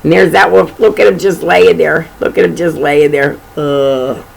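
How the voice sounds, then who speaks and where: A woman's voice: deep voice, fairly even intonation, moderate pace, vocal fry, slight background noise, rough recording. A woman reading a children's storybook aloud in an informal way, with chatty, folksy asides about the pictures.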